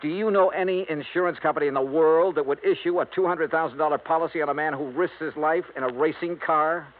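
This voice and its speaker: A man's voice: a little high, quick pace, lightly acted. A man with an American accent playing a dogged, wry insurance investigator in a radio noir drama, with clipped, dry delivery. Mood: pressing, blunt. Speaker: lead detective and narrator. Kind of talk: 1950s radio noir acting. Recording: tinny old radio broadcast, music behind.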